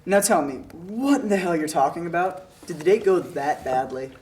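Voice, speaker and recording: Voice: mid-range voice, lively intonation, normal speed, darkish tone, natural voice. A performer playing a laid-back, blunt male college roommate in a table read of a drama script. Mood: probing.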